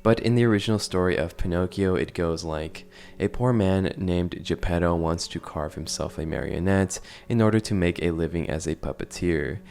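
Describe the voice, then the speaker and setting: A man's voice: deep voice, moderate pace, gravelly, hardly any pauses, darkish tone. A man narrating a casual, analytical commentary on horror videos.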